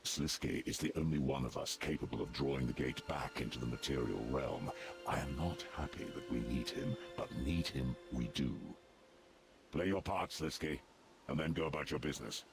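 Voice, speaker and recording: An actor playing a solemn, devout ancient servant of a dark god in a fantasy video game, speaking formally and gravely. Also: male voice. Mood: stern. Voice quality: very deep, gravelly. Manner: formal, grave, measured and declarative. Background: music.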